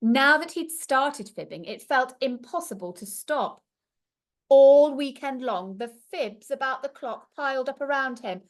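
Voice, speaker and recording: A woman's voice: high-pitched, animated, a little slow. A woman reading a storybook aloud to young children like a primary teacher, expressively and with little asides to her listeners.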